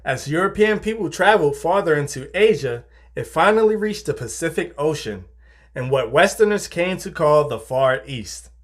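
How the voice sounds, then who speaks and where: A man reading scripted narration in a formal, measured way, like reference-book entries. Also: a little high, lively intonation.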